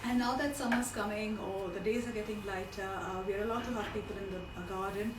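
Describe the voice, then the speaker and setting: A woman's voice: mid-range voice, steady tone, fast talker, recorded from a distance. A middle-aged woman from India teaches a cooking class on a live stream, chatting as she explains. Her voice is partly lost. She sounds set back from the mic in a roomy kitchen, with some background noise. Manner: chatty, digressive instruction with asides. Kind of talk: informal live cooking demonstration.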